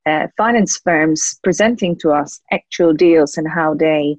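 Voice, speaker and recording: A young woman with a Czech accent answers interview questions conversationally, often pausing mid-sentence as she goes. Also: deep voice, darkish tone, no echo. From Czech Republic. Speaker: guest, lawyer and compliance officer.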